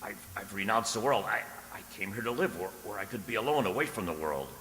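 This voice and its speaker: A man's voice: on the low side, lively intonation, quick pace, a bit bright, a little hiss or hum. A man acting an earnest, nervous poet in a radio drama, intense and given to stammering as he tells his tale. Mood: nervous, pleading. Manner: intense, stammering when spoken to. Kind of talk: dramatic first-person radio narration and acting. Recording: echoing reverberant hall sound.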